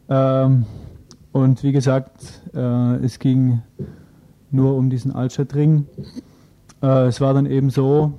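A man's voice: medium pitch, mellow. A man giving a spontaneous interview account as a local activist, hesitantly searching for his words.

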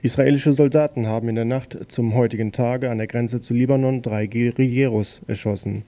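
A man reads a radio news bulletin with a rough, gravelly voice. His tone is very dark and mellow. He delivers it plainly, stumbling and correcting himself now and then. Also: mid-range voice, fairly even intonation, soft background music. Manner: plain, slightly halting reading with self-corrections. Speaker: news reader on a local radio station.

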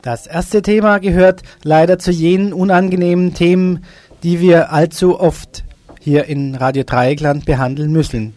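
Higher-voiced man hosting radio news, dry sound. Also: steady tone, normal speed, warm tone, no room sound. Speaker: radio news magazine host. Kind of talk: informal radio news presenting.

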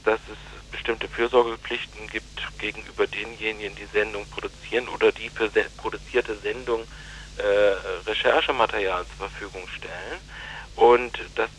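Male interviewee, slightly low pitch, formal legalistic commentary, unscripted and hesitant with frequent filled pauses. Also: fairly bright tone, poor audio. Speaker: interviewee from a radio station.

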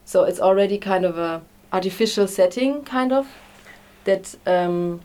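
Dark-voiced woman, hesitant interviewee. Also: mid-range voice, moderate pace. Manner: hesitant, searching for words. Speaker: refugee-support activist being interviewed. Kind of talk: unscripted interview answers.

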